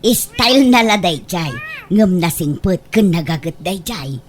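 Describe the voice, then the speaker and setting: A woman's voice: fairly low pitch, lively intonation, a little slow. A woman with an Ilocano accent acting as a frank, bossy eldest sister in a comedy radio drama. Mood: coaxing, amused. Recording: faint background noise and ambience.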